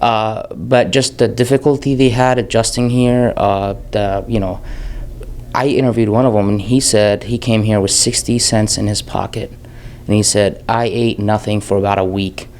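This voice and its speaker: A man in his thirties who grew up in Jordan answers interview questions in a low voice. He talks candidly and rambles a little, with many restarts. A faint room hum sits behind him. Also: steady tone, normal speed, a little mellow. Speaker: filmmaker guest. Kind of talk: casual interview talk.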